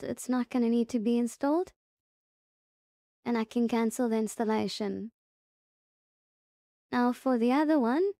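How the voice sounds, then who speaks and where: A woman narrating a casual software tutorial, instructing step by step and repeating her reminders. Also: a little high, no echo, clean studio sound. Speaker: tutorial presenter.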